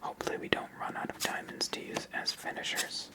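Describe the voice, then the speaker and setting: An ASMR host whispering commentary while playing through a tabletop card game. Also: a little fast.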